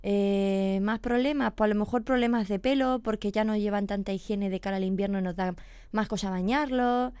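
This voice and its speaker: A woman's voice: medium pitch, normal speed, a little mellow, clear, resonant tone, no room sound. A woman, a veterinarian explaining pet illnesses patiently on a radio chat, checking in with her listener as she goes. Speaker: veterinarian guest.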